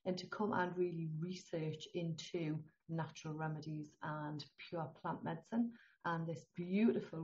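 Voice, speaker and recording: A middle-aged to older woman with a northeast English accent, a holistic healthcare guest talking in a chatty, animated way and laughing often. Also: low-pitched, a little hiss or hum. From northeast England. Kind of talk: conversational interview. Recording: dull lo-fi sound.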